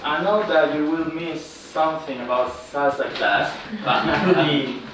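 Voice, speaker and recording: Man, Ecuadorian Spanish accent, halting student presenter, unhurried pace, slightly high voice, informal classroom talk. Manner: halting non-native English, often seeking agreement with "no?". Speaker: student presenter, football coach. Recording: very echoey room, distant mic, noisy.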